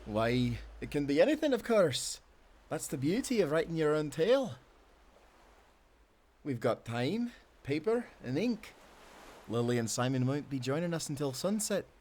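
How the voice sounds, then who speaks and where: An actor playing a devoted, playful sailor husband with a Scottish accent, speaking tenderly in old-fashioned seafaring language for a romantic audio roleplay. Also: male voice, a little high, big pitch swings, moderate pace, long pauses. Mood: warm, eager. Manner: tender, teasing, given to soft chuckles.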